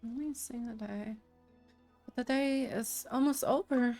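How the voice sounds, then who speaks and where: Slow, musing woman reacting to a game. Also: a little high, over music. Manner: brief, musing asides. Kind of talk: casual reaction commentary.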